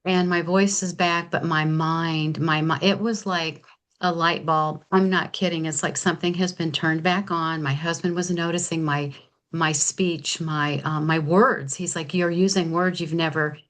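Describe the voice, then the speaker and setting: A woman's voice: sits low, steady tone, measured pace, fairly bright tone. A woman in her seventies sharing an enthusiastic, chatty testimonial on a video call, often restarting her sentences. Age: 70s.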